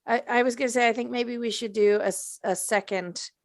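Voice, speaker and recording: A woman's voice: fairly high pitch, steady tone, a little fast, dry recording, studio quality. A woman chatting casually as a panelist in a podcast discussion.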